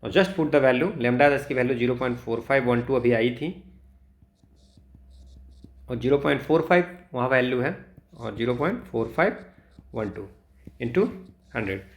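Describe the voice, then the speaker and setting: A man with an Indian accent teaching exam problems step by step, halting and thinking aloud as he calculates. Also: mid-range voice. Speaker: physics teacher for exam preparation. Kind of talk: instructional walkthrough of exam problems, switching between Hindi and English.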